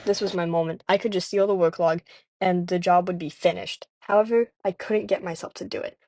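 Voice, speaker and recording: A woman's voice: on the low side, fairly even intonation, a little fast, a little mellow. A woman playing a wry, understated, world-weary private detective who narrates the case in a 1950s-style radio drama. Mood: reflective.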